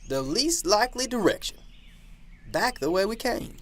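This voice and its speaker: A man's voice: sits high, big pitch swings, normal speed, a bit bright. A man playing a cryptic, pious minister in an amateur radio drama, preachy and theatrical. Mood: sanctimonious, cryptic. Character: cryptic, pious backwoods male minister and guide.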